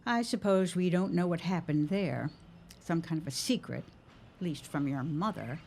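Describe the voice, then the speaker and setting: An actress playing a prying, sharp-tongued middle-aged mother who keeps a market, arch and dry, in a period audio drama. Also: fairly low pitch, moderate pace, creaky, slightly rough. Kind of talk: period radio-style audio drama acting.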